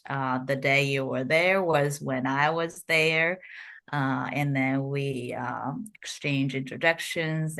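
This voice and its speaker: A middle-aged woman from Japan speaks with a Japanese accent as an interview guest. Her voice sits low and bright, and she talks slowly and carefully, filling gaps with soft "uh"s. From Fukuoka, Japan. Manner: slow, careful, many "uh" pauses. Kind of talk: podcast interview.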